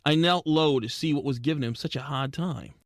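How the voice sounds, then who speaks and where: A man's voice: on the high side, a little fast, close to an everyday voice. An actor playing a plucky, wisecracking penguin detective who tells his case in slangy hard-boiled style for a comic audio drama. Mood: narrating, wry. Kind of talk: scripted comic noir narration and dialogue.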